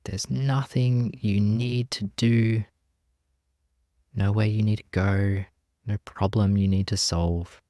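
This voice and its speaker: A man leading a guided meditation as a teacher, speaking gently and leaving long pauses between phrases. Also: lowish voice, steady tone, no room sound, clean studio sound.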